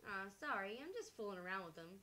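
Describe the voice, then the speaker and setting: A woman's voice: mid-range voice, expressive, fast talker, bright, forceful tone. A woman game streamer reading a visual novel aloud in a playful, casual way. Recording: faint background hiss.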